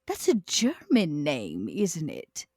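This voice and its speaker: Lively woman playing a tabletop game. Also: deep voice, takes it slowly, husky. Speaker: player in a tabletop roleplaying game. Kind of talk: in-character tabletop roleplay chat.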